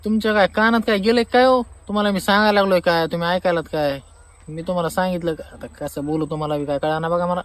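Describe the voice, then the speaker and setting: Comic phone skit: man acting an aggrieved rural Marathi farmer, high voice, exasperated, mocking and sarcastic. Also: steady tone, quick pace, lightly acted. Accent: Marathi, rural Maharashtra. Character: aggrieved, sarcastic male farmer demanding refund. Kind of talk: staged comic phone-call argument.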